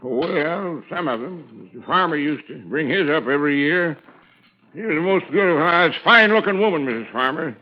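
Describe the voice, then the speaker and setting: A folksy older foreman runs a country lodge, talking in a rustic drawl that sits a touch high. His chatter comes through the dull, muffled sound of an old radio broadcast. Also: animated, moderate pace. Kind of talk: 1950s radio drama acting.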